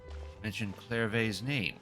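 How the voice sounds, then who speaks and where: A man with a deep voice reads game dialogue aloud and comments casually as he streams. Game music plays clearly behind him. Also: a little slow, a bit bright.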